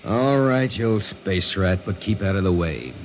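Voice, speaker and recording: An actor playing a gruff, no-nonsense spaceship skipper in a radio drama, stern and grudging. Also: male voice, deep voice, lively intonation, normal speed, slight background noise. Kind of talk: 1950s radio drama acting.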